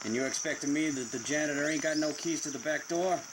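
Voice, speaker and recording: A man's voice: a little high, fast talker, lightly acted. Man acting as 1940s gangster, cocky, menacing, slangy wiseguy delivery, old-time radio drama, noisy recording. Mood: impatient, threatening. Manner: slangy, blunt, threatening wiseguy talk.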